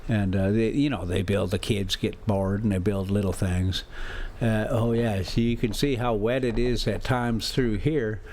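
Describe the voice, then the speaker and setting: A man's voice: fairly low pitch, fairly even intonation, a little slow, a little mellow, a little hiss or hum. An American man chatting casually as a travel vlogger on a drive, rambling in a folksy, teasing, sarcastic way. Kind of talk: casual rambling chat and ranting.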